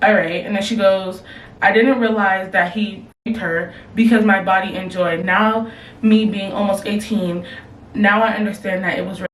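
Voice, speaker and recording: A woman's voice: medium pitch, far from the mic, slight background noise. Blunt young woman vlogging in a roomy space. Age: young adult. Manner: blunt, slangy, animated reactions. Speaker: advice vlogger reading submissions. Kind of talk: casual advice chat. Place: room at home. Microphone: camera, later phone.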